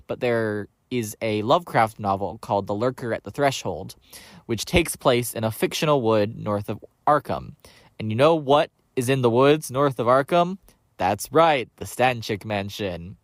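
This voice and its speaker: A man with a mid-range voice delivers a prepared listener message. He explains things point by point, and the sound is dry with no room echo. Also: medium pitch.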